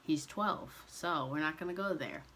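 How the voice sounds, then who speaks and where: A young man chatting casually to camera as a vlogger, relaxed and loose, with frequent ums and restarts. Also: fairly high pitch, normal speed, slight background noise.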